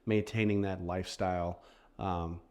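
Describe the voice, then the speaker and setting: A man's voice: sits low, little pitch movement, normal speed, a little mellow. A man co-hosting a podcast in a casual, bantering style, often joking with his co-host.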